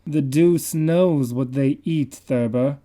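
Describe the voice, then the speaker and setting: A man's voice: medium pitch, takes it slowly, warm tone, close to an everyday voice. A man voicing a sardonic male painter who speaks in an offhand, wry way.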